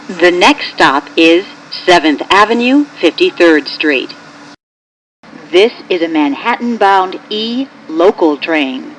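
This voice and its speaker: An American woman reading scripted, automated train announcements in a formal, measured manner, with clearly segmented phrases. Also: on the low side. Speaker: recorded subway train announcer. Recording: noisy capture from train loudspeaker. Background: subway train ambience.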